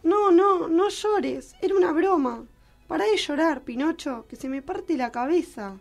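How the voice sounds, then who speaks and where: Woman playing a high-voiced weary Argentine fairy. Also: animated, unhurried, soft background music, exaggerated voice. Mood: pained. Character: hungover, weary, sardonic good fairy. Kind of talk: comic radio play acting.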